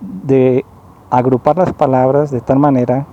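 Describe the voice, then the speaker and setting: A man with a very dark, mellow voice. He talks slowly and earnestly, pausing and rewording his phrases as he goes. His pitch sits at an ordinary middle level. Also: flat delivery, dull audio. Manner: slow, halting, rephrases often; earnest and explanatory. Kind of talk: spoken monologue, personal complaint.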